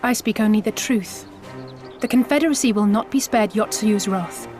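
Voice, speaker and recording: An actress playing a composed, daring rebel envoy in a video game, speaking in a measured, formal, persuasive way. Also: female voice, mid-range voice, darkish tone, lightly acted. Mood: earnest, firm. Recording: background music.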